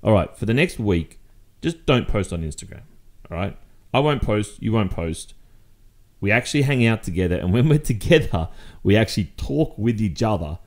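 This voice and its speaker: A man acts out a jealous Australian boyfriend with a low-pitched voice. He confronts his partner in an intimate roleplay monologue, exasperated and accusatory. His phrasing is conversational and halting, yet insistent. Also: darkish tone.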